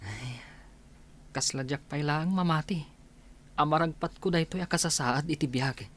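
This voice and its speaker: A man's voice: fairly high pitch, moderate pace, a bit bright. A man with an Ilocano accent playing a humble, grateful young doctor-to-be in a radio drama.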